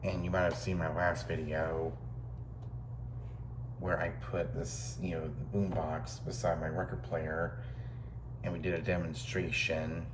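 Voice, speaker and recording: A man's voice: low voice, measured pace. A man in his thirties giving a casual, unscripted show-and-tell in a rambling, repetitive, matter-of-fact way. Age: 30s. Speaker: vlogger showing thrift store finds. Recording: clear steady background noise, amateur recording.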